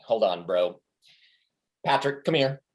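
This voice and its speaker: A man's voice: lowish voice, expressive, takes it slowly. A man in a comedy play reading, playing a brash, crude, cocky tour manager who talks in a bossy, jokey, mock-wise way. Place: remote reading over a video call.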